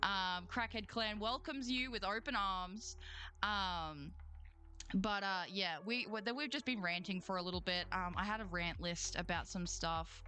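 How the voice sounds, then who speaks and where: A woman's voice: medium pitch, sharp tone. A young Australian woman streamer and voice actor, ranting casually to chat with lots of slang and swearing. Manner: swears freely, slangy, quick emotional swings. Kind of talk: casual livestream rant and chat reading.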